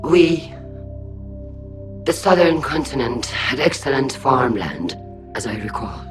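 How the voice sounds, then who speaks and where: A woman's voice: extremely low pitch, sing-song, slow pace, exaggerated voice. Shaken polite alien admiral in a game, music playing behind her. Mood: shaken, subdued. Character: shaken, courteous female alien fleet admiral.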